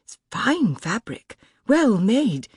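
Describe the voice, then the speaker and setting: A reader voicing a fretful young maidservant who chatters anxiously, much higher than the narration. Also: female voice, big pitch swings, slow, darkish tone, slightly rough, no echo, clean studio sound, exaggerated voice. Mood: wary.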